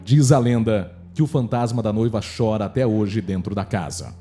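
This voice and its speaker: A man's voice: on the low side, moderate pace, warm tone. A man with a Brazilian accent narrating a scripted horror story for radio drama. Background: faint music.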